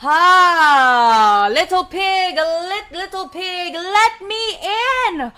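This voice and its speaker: Woman putting on a loud, blustering big bad wolf. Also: very high voice, lively intonation, drawn-out words, pitched above the performer's own voice, full character voice. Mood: greedy, wheedling.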